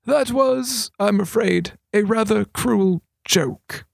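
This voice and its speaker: A man voicing an old male wizard regent who is formal, paternal and dryly playful, speaking slowly and deliberately. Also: high voice, creaky, dry recording, studio quality, voice pushed up, exaggerated voice. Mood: sheepish. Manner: slow, deliberate, grandly formal. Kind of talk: audiobook character voice.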